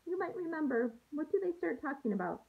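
A woman in her thirties, a teacher reading aloud to young children with an expressive, sing-song delivery, recorded on a handheld-distance device at home. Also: high voice, brisk, gravelly, phone-quality sound. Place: small room at home, indoors. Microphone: phone or laptop at arm's length.

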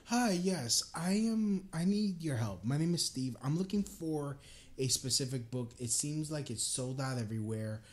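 Higher-voiced man hosting a prank call show. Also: animated, moderate pace, a little mellow. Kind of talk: casual live prank-calling chat.

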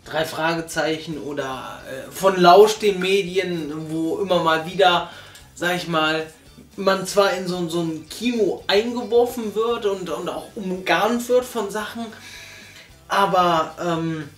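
A man's voice: high voice, takes it slowly, a bit bright. A young man reviewing a radio drama in casual chat, hesitant, with many fillers and restarts, sitting on a sofa. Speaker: podcast reviewer. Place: living room, sitting on a sofa. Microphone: far camera. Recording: roomy, far from the mic, faint music.

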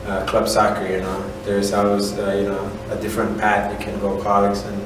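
Echoey, low-voiced man with Central American accent. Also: completely flat delivery. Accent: Central American Spanish. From Honduras. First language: Spanish. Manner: halting, repeats "you know". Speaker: assistant soccer coach. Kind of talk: casual interview answers. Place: indoor room at a college. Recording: echoey room, distant mic, background noise.

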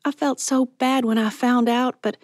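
Woman playing a flustered, higher-pitched nervous woman. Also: steady tone, normal speed, no echo, clean studio sound, close to an everyday voice. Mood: apologetic. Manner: flustered, squeaky under pressure.

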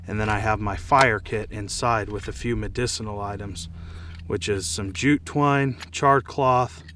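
A man's voice: fairly low pitch, fairly even intonation, a little slow, pushed and bright, a little hiss or hum. An American man casually demonstrating and reviewing gear to camera, speaking outdoors. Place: outdoors in dry scrubland.

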